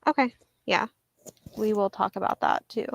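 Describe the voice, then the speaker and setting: A woman co-hosting a book club discussion over a video call, agreeable and casual, planning the next reads out loud. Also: mid-range voice, moderate pace, creaky. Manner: agreeable, short replies, plans aloud.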